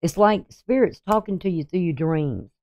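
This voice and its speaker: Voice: medium pitch, expressive, normal speed, a little mellow. An astrologer in their sixties talking casually through a daily chart reading, rambling and hesitant, with many ums and restarts. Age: 60s.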